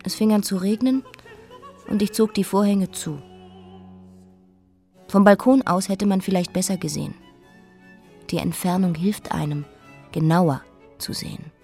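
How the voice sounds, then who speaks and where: An actress plays a defiant former queen in a radio drama monologue. Her female voice sits at a middle pitch and speaks in deliberate, declarative phrases over faint music. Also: mellow, close to an everyday voice. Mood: detached, reflective. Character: defiant, wilful abdicated queen.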